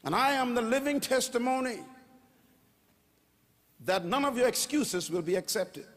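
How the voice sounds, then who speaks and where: An older man with a Bahamian accent preaching a sermon on stage into a handheld mic, calling on the crowd to answer back and rising to shouts. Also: very high-pitched, animated, normal speed. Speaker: pastor. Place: large auditorium stage. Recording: long hall reverb.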